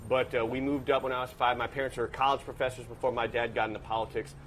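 A man's voice: medium pitch, little pitch movement, quick pace, fairly bright tone, slight background noise, low-quality recording. Fifty-year-old man telling racing stories. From Washington, D.C. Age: 50s. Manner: thoughtful, analytical, with many restarts. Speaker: guest, economics professor. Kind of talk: casual interview storytelling.

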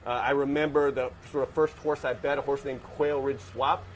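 Brief: man, 50, professor guest, mid-pitch, analytical storytelling, faint ambience behind. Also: steady tone, normal speed, slight background noise. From Washington, D.C. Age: 50s. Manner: thoughtful, analytical, with many restarts. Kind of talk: casual interview storytelling.

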